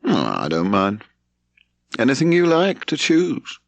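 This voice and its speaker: A male narrator acts out a gruff British older brother from a storybook, offhand and easily exasperated. The voice is creaky and swings about in pitch, bossy and grudging in temper. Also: wildly swooping pitch, measured pace, no echo, exaggerated voice. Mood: absent, offhand. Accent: British English. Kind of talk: audiobook character dialogue.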